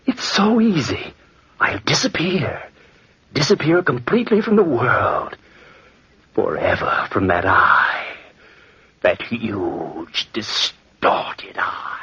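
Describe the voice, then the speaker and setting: A young murderous nephew, sly and guilt-ridden, with a very husky voice that swings widely in pitch. It is 1940s radio acting on a muffled, scratchy old recording. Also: male voice, sing-song, slow, bright, forceful tone, heavily acted. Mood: feverish, boastful.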